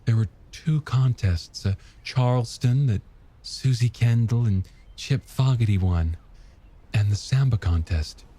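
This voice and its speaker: A man's voice: medium pitch, a little slow, mellow, husky. A man voicing a wistful, nostalgic married woman in an audiobook, reminiscing with some hesitation. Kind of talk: audiobook character dialogue.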